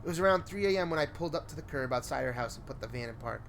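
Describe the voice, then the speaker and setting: A man's voice: fairly high pitch, animated, rapid-fire, gravelly, noisy background. A man reading a scripted first-person horror story in a suspenseful, theatrical way. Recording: prominent music under the voice. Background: music.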